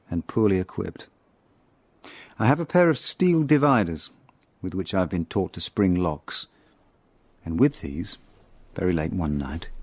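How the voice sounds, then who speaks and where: A man acting a reflective middle-aged spy, narrating his past in a radio drama in a measured, wry, literary way. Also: low-pitched, a little mellow, husky.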